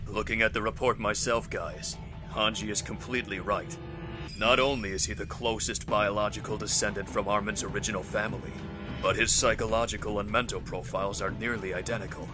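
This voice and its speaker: A man playing a composed, authoritative military commander, measured and businesslike, in a sci-fi anime-style drama. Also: fairly low pitch, fairly even intonation, lightly acted. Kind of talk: sci-fi anime parody audio drama acting. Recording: loud guitar music behind.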